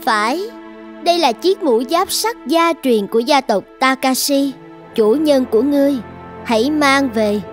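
An actress voicing a regal, calm female spirit, stern but kind, in a cartoon. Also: high-pitched, a little slow. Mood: solemn, benevolent. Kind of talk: animated cartoon dubbing. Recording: music behind the voice.

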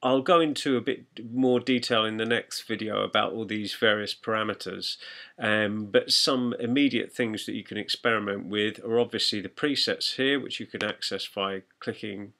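A man narrating an informal software tutorial in a conversational, explanatory way. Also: lowish voice, steady tone, normal speed, gravelly. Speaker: music production tutor.

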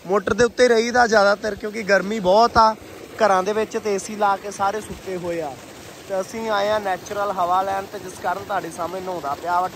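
A man's voice: sits high, background noise. A young man from Punjab chatting casually with viewers and friends outdoors at a farm, with a grumbling sense of humor. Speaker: vlogger. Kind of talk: casual chat and greeting to viewers. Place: outdoors at a farm tubewell among rice fields.

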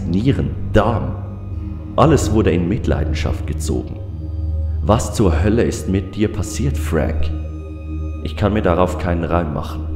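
An actor playing a weary, troubled coroner in a German audio drama, speaking in a deliberate, brooding, clinical way. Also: male voice, low voice. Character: weary, troubled male small-town coroner. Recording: echoey hall reverb, music behind.

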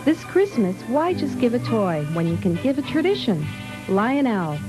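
An American woman reads an advertising voice-over. Her voice is slightly high and very lively in pitch, with music clearly underneath. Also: normal speed, poor audio. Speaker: commercial announcer.

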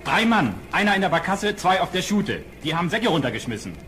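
An actor playing an alert dockside helper in a radio play, giving blunt, short answers. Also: male voice, sits high, very animated, a little fast, fairly bright tone. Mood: insistent. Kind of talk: German radio play acting. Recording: old radio play, clear background noise.

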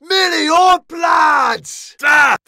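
Shouting, high-strung Irish mercenary. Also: male voice, very slow, gravelly, no echo, clean studio sound, full character voice. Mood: fierce, rallying. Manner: shouting, with pained cries and grunts. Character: rowdy, foul-mouthed male mercenary soldier, cocky and battle-mad. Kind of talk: medieval video game battle voice lines.

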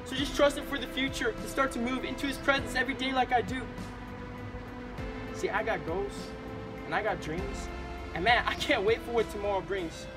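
A young man performs spoken word poetry in a high-pitched voice. His delivery is rhythmic and earnest, leaning hard on the rhymes. Music plays underneath him. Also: steady tone, moderate pace. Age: 20s. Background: music bed.

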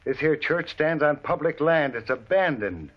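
An actor playing a short-tempered, bullying gang boss in an old-time radio Western, blunt, slangy and threatening. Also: male voice, on the high side, lively intonation, normal speed. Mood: menacing. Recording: dull vintage radio recording.

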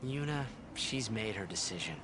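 An actor playing an earnest, determined young hero in a video game cutscene. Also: male voice, fairly low pitch, lively intonation, normal speed, noisy background, close to an everyday voice.

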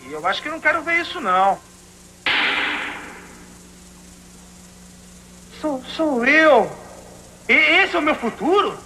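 A man's voice: extremely high pitch, sing-song, moderate pace, background noise, exaggerated voice. A man voicing a troubled teenage older brother in a dubbed cartoon, earnest and emotional. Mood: frightened. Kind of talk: dubbed cartoon drama acting.

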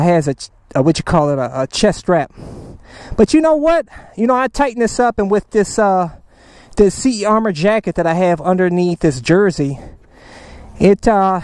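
A man's voice: high voice, a little mellow, no room sound. A man reviewing a motorcycle backpack outdoors in a casual, enthusiastic, salesy way, tossing in slang like "man" and "baby" and plenty of fillers. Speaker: motovlogger reviewing gear. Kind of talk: casual moto vlog review. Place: outdoors in a parking lot beside his motorcycle.

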